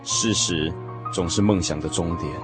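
An actor playing a lovesick young man, telling his lost first love in an expressive, emotional radio drama style. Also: deep voice, moderate pace, close to an everyday voice. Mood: wistful. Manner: expressive, sighing, emotional storytelling. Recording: music playing behind the voice. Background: music.

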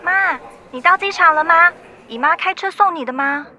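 An actress playing an earnest, protective daughter of twenty in a radio drama. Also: female voice, very high voice, normal speed, a crowd in the background. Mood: worried. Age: 20s.

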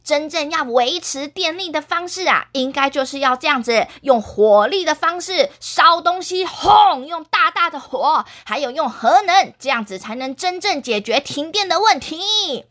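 A woman's voice: high voice, expressive, normal speed, hardly any pauses, a bit bright. A woman voicing a proud male fire-power superhero in a children's story, arguing his way is best. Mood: boastful. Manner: argumentative, boastful, then eager.

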